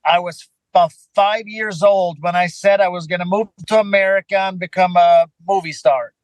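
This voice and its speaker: A man's voice: high voice, fairly even intonation, normal speed, no room sound, low-quality recording. A man from Sweden, a native Swedish speaker, telling stories conversationally as an interview guest. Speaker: podcast guest, filmmaker and actor. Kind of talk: casual conversational storytelling.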